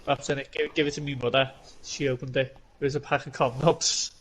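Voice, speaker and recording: Bright, stammering man on a podcast. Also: medium pitch, little pitch movement, brisk, a little hiss or hum. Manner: stammering, repeats words. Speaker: podcast co-host. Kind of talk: casual chat.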